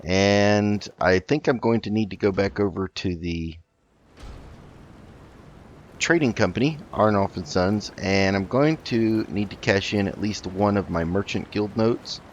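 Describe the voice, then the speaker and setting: A man hosting a roleplay gaming video, talking in a measured, halting way as he plays and narrates. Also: low voice, muffled recording, a little hiss or hum. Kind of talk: roleplay let's play commentary.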